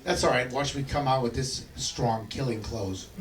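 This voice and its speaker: A man's voice: mid-range voice, normal speed, slight background noise. A man doing a stand-up comedy set, joking and laughing through his punchlines. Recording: echoey club, distant mic, crowd.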